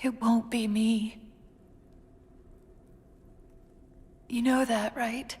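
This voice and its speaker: Earnest female AI companion, highish voice, intimate and intense, reverberant game cutscene. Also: flat delivery, unhurried, husky. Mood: anguished, resigned. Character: female artificial intelligence companion, intense and caring. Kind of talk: video game cutscene acting. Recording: hall reverb, noise, loud cinematic music.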